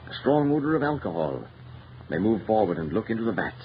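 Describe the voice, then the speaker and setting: A man's voice: fairly low pitch, big pitch swings, brisk. A man narrating an old-time radio mystery in a grave, theatrical manner on a muffled, hissy vintage recording.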